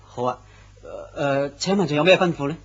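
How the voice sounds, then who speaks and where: An actor playing a nervous, deferential young office worker in a radio drama. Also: male voice, fairly high pitch, brisk, lightly acted. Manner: deferential, anxious. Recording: dull lo-fi recording.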